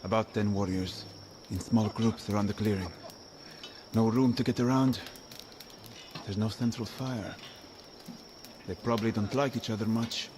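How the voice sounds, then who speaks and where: Actor as a wary shepherd guide amid night insects. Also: male voice, lowish voice, fairly even intonation, normal speed, long pauses, noisy background, close to an everyday voice. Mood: hushed, urgent. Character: male shepherd guide, wary, protective, wry companion. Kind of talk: video game voice acting.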